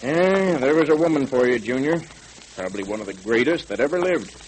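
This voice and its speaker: Crackly old radio, guy playing a folksy captain, mid-pitched, friendly and explaining stuff. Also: expressive, close to an everyday voice. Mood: fondly explaining. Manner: avuncular, explanatory, hesitant pauses. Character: genial, folksy police captain teaching a boy. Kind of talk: vintage radio serial acting.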